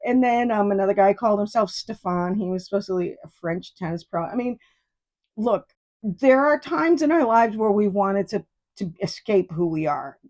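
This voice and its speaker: A woman's voice: medium pitch, moderate pace, a little mellow. A woman in her fifties from New York State, hosting a solo podcast in a rambling, digressive and profane way. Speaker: podcast host. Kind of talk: casual solo podcast monologue.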